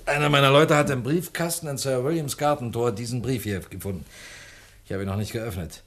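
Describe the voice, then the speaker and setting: An actor playing a sceptical, down-to-earth police inspector in a radio play, with a dry, dismissive manner. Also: male voice, medium pitch, a little fast, fairly bright tone, close to an everyday voice. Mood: matter-of-fact. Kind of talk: German radio play acting.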